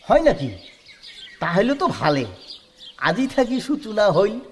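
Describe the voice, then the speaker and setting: Guy doing an earnest kamtapuri village suitor, high voice, stubborn. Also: big pitch swings, a little slow, a little hiss or hum, heavily acted. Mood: insistent. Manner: insistent, earnest. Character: eager young village groom's friend insisting he wants only the bride. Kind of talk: rural village radio play acting.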